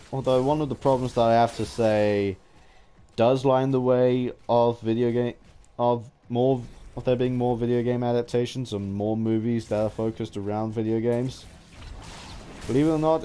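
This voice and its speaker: Man rambling haltingly over clear background noise. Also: mid-range voice, steady tone, dark tone. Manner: halting, often restarting and repeating phrases. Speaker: gaming vlogger. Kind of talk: unscripted opinion ramble to viewers.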